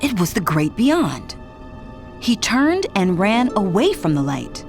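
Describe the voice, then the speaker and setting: Expressive woman narrating over music, lively pitch. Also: slow. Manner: expressive, dramatic storytelling. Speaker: storybook narrator. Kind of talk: scripted narration for a children's read-along.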